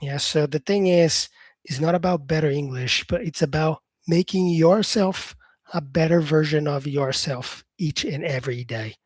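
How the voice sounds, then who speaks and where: A man's voice: fairly high pitch, normal speed, dry recording. A man whose first language is Portuguese, an English teacher chatting on a live stream and answering viewer comments.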